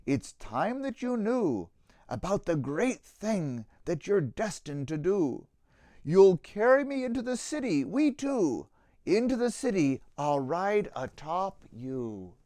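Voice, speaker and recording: A man voicing a gentle, wise man in a children's storybook, speaking softly in a calm, mysterious, reassuring way. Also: sits high, slow, no room sound, clean studio sound, exaggerated voice. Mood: gentle, solemn. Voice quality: soft.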